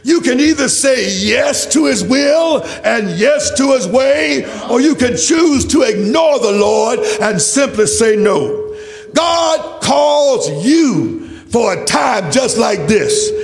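A man's voice: very high voice, big pitch swings, slow, creaky. A man preaching an impassioned church sermon in a declamatory, emphatic way, with repeated rhetorical phrases. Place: large church. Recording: reverberant hall, faint music.

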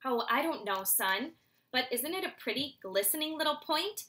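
A woman's voice: high voice, lively intonation, moderate pace, pushed and bright, voice pushed up. A woman voicing a hearty, doting father barn owl in a storybook read-aloud. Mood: delighted.

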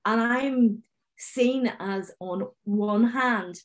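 Northern Irish woman, 40s, video call panellist; open stammer with repeats and blocks, unhurried, self-assured. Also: on the high side. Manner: confident, direct. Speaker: webinar panellist, finance manager. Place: home room, indoors. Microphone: video call webcam.

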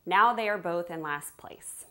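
A woman's voice: lowish voice, a little slow, a bit bright, no room sound. A woman in her thirties hosting TV segments and interviews, chatty and playful in a bubbly way. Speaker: TV host. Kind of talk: upbeat hosting and promo presenting.

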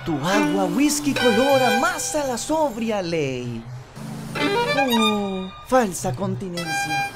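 A woman voicing a flamboyant, mischievous young male cat bootlegger in an animated cartoon dub, grandiose and melodramatic, with a Latin American accent. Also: mid-range voice, slow pace, loud music behind the voice. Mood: grandiose, musing.

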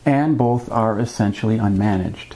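A man in his fifties hosting a fishing vlog, explaining things to camera in a conversational, measured way with wry asides. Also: on the low side, warm tone, rough recording. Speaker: fishing channel host. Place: small indoor room at a desk with a computer.

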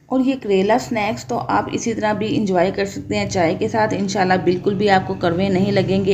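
A woman's voice: medium pitch, warm tone, a little hiss or hum. Patient woman teaching a recipe. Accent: Pakistani Urdu. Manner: patient, explains each step and its benefits. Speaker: cooking channel host. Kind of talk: step-by-step cooking instructions. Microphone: voice-over over hands-only cooking footage.

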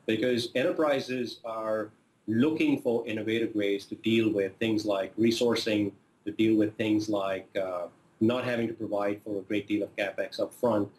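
A man's voice: on the low side, fairly even intonation, normal speed, a little mellow, echoey room, slight background noise, rough recording. A man, a sales executive, answering an interview question casually with many fillers. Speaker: sales vice president.

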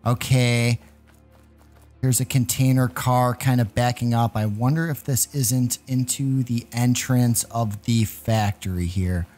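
A man's voice: medium pitch, fairly even intonation, unhurried, a little mellow, faint music behind. A man hosting a gaming video, giving casual gameplay commentary with some light roleplay storytelling in an informal, hyped but hesitant way. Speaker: gaming channel host.

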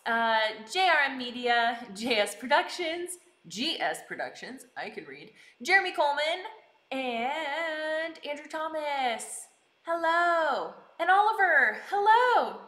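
A woman's voice: sits high, sing-song, slow, sharp tone. A bubbly, giggly woman chatting casually with live viewers as she hosts a stream, full of exclaimed greetings. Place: indoors in a kitchen. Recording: roomy, echoing indoor sound.

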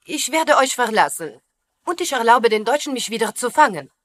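Sitcom dub: resolute French lady scientist, fairly high voice, accented broken grammar, formal and firm. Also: big pitch swings, moderate pace, fairly bright tone, no room sound, clean studio sound. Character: determined French woman scientist working underground. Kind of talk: dubbed sitcom acting.

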